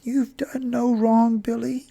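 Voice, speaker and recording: A man reading a story aloud, voicing a gentle, patient mother who speaks softly and slowly to comfort her son. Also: extremely high pitch, level tone, gravelly, dry recording, pitched above the performer's own voice, full character voice. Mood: soothing. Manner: soft, slow and soothing.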